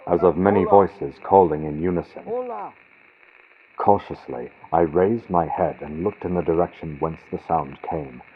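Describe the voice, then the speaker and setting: A man reads a gothic horror story as a dramatic audiobook narrator, with theatrical, suspenseful, deliberate phrasing and pauses for effect. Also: low-pitched. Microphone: built-in computer microphone. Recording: dull lo-fi computer mic, vintage-radio sound, loud noise. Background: loud noise and storm-like ambient sound effects.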